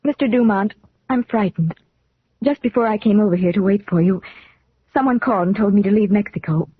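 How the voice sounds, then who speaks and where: Woman as anxious young lady, husky old-radio voice. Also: mid-range voice, fairly quick, lightly acted. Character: earnest, anxious young woman, bereaved daughter. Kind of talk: 1940s radio acting. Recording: thin phone-like 1940s radio recording.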